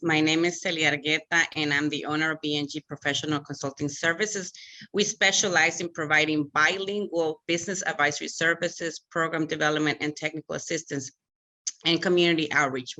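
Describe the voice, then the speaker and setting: A woman's voice: low voice, steady tone, fairly quick, sharp tone, poor audio. A middle-aged woman from El Salvador formally introducing herself and her work as a panelist. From born in El Salvador, immigrated to the United States. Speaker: panelist, consulting business owner.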